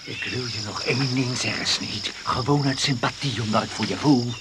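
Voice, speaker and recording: A calculating, threatening man voiced by an actor in an old radio drama. His mid-pitched voice insinuates and warns. Loud noise covers the recording. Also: normal speed, natural voice. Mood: menacing. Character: menacing, calculating man warning a rival.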